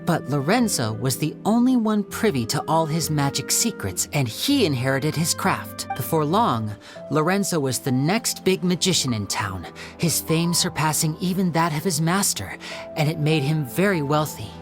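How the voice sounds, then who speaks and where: A voice actor playing a charming young stage magician who talks politely and persuasively. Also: male voice, a little high, big pitch swings, normal speed, over music. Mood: composed. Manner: polite, courteous, gently persuasive. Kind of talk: video game voice acting.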